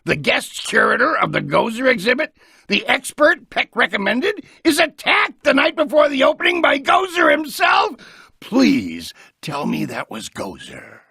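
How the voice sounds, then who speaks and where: An actor playing a brash, publicity-hungry mayor in a video game, gravelly, theatrical and blustering. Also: male voice, very high voice, wildly swooping pitch, a little slow, sharp tone, no echo, raised voice, clean studio sound, heavily acted. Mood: excited.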